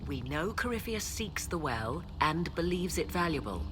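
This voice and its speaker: An actress playing a proud, guarded and ambitious sorceress in a fantasy game, speaking in formal, measured, haughty phrases. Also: female voice, deep voice, big pitch swings, fairly bright tone, a little hiss or hum. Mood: earnest, persuasive. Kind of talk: dramatic scripted game dialogue.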